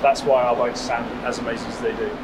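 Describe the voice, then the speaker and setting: A man's voice: medium pitch, level tone, fast talker, a loud crowd. A man guiding a walking tour of a factory, explaining the work in detail and matter-of-factly. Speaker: factory guide. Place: indoor motorcycle factory floor. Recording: noisy factory floor.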